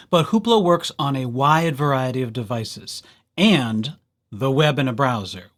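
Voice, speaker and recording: A man presenting a casual, jokey library tutorial, speaking straight to viewers and playing out little imagined exchanges. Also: mid-range voice. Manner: jokey, playful, addressing viewers directly. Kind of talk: casual scripted tutorial narration.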